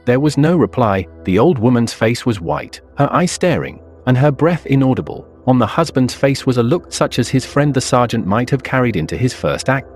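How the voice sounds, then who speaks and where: A man's voice: medium pitch, lively intonation, normal speed, few pauses, a little mellow, soft background music. A man narrating a scripted suspense story in a literary style.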